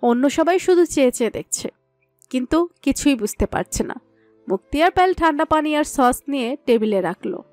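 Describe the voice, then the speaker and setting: A woman narrates a scripted story in a high-pitched voice. The sound is close and dry, with no room around it. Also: lively intonation, normal speed, no room sound, faint music behind.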